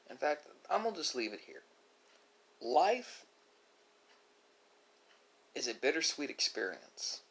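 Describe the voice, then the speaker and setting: A man with an average-pitched voice delivers an unscripted, reflective monologue to an online audience. He talks in a philosophical way, breaking phrases with pauses and correcting himself along the way. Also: low-quality recording. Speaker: ASMR video creator. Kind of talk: unscripted musing monologue to viewers during a mukbang.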